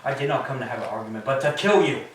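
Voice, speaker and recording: A man's voice: medium pitch, lively intonation, very fast, natural voice. Very echoey distant recording, male actor as ancient Greek king, vengeful, commanding, declamatory, staged tragedy reading. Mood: scornful. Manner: declamatory, imperious. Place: church, live staged reading. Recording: echoey church, distant mic, faint noise.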